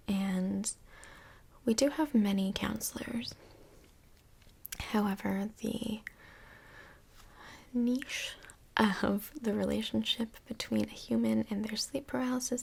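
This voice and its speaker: A woman plays a polite, tactful female counselor from Hell in an ASMR roleplay. Her voice is whispery and breathy, with some crackly fry in it. She speaks slowly and professionally, pausing to pick her words. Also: a little high, darkish tone, full character voice. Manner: measured, tactful, professional, hesitant pauses. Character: polite, busy woman filling in as Hell's couples counselor. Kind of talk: soft-spoken ASMR roleplay.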